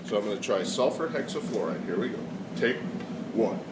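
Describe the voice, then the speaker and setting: A man with a cold explaining a science demonstration in a casual, halting way with frequent fillers. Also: fairly low pitch, expressive, heavy background noise. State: has a cold. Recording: echoey room, far from mic, loud air conditioning.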